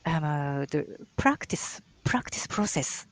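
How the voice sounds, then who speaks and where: Guy talking slow and careful, lots of uhs, dry close sound. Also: on the high side, expressive, a bit bright, no room sound. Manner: hesitant, many fillers and restarts, emphatic on key words. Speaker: guest language scholar. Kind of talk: live online talk with Q&A. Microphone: video call.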